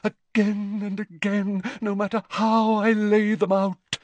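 A man voicing an eccentric, haughty middle-aged woman seer, speaking in a high, theatrical, mystical manner. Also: level tone, slow pace, darkish tone, a little hiss or hum, natural voice. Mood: ominous.

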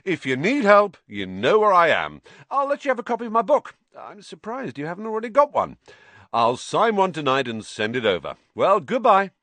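A man voicing a pompous, self-satisfied celebrity wizard who talks loudly and airily. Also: sits high, huge pitch swings, normal speed, dry recording, pitched above the performer's own voice, heavily acted. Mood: breezy boasting.

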